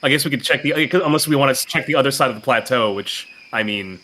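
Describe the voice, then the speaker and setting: A man's voice: medium pitch, quick pace, sharp tone, slight background noise. A man talking casually and slangily as a player in a tabletop game. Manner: informal, slangy, swears casually. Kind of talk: casual chat.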